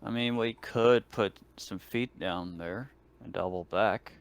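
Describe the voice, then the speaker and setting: A man's voice: on the low side, takes it slowly, smooth. A man chatting casually as a player in a tabletop role-playing game.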